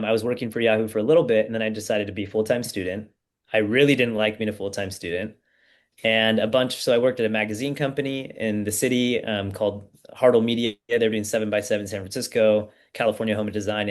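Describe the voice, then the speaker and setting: A man in his thirties from the United States, a startup founder guest telling his story in a relaxed, casual way with plenty of fillers. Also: on the low side, fast talker. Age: 30s. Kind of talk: casual podcast interview.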